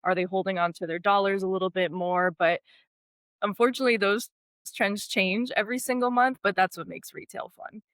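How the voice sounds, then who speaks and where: A woman's voice: medium pitch, steady tone, brisk, fairly bright tone, clean tone, dry recording. A woman from Miami, a podcast guest talking in a friendly, enthusiastic, conversational way about retail. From Miami, USA. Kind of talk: casual interview.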